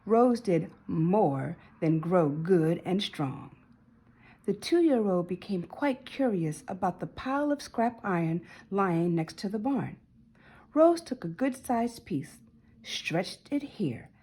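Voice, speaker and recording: A woman narrates a children's storybook with lively, swooping intonation. Her mid-pitched voice has a husky edge, and she leans on key words for dramatic emphasis. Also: slow. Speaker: storybook reader. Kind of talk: expressive children's read-aloud narration.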